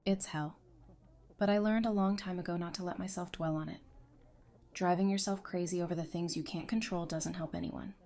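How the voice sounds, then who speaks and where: A woman's voice: lowish voice, steady tone, brisk, dark tone, lightly acted. A woman playing a frank, teasing, resilient survivor in audio drama dialogue. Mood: subdued, resolute.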